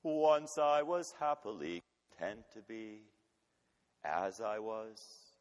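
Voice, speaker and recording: A man preaching a sermon with emphatic delivery, swinging between urgent loud passages and quiet, intimate ones. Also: on the low side, expressive, slow. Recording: long hall reverb.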